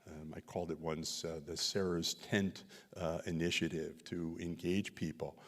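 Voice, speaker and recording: A man with a very low voice answers audience questions in a synagogue hall, and his words trail with a long reverb. He talks haltingly, full of "um"s and restarts, on a microphone. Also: flat delivery, fairly bright tone. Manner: halting, many fillers and restarts. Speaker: visiting researcher presenting a community study. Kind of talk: Q&A answers after a talk. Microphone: handheld microphone.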